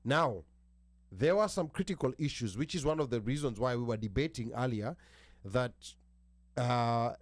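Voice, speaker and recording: A man with an American accent hosting a panel talk show, speaking haltingly with restarts and reading from notes. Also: medium pitch, dry recording. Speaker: host.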